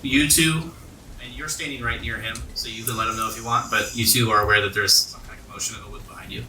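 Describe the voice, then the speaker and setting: Guy game master describing the action, lowish voice, echoey and off the mic, music behind. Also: fairly even intonation, fast talker, pushed and bright, recorded from a distance, a little hiss or hum. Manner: conversational, descriptive storytelling. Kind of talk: narrating a tabletop game with friends.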